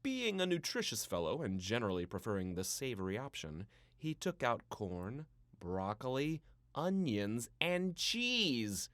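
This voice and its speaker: Lively male children's storybook narrator. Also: mid-range voice, no echo. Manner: playful, theatrical storytelling with dramatic pauses.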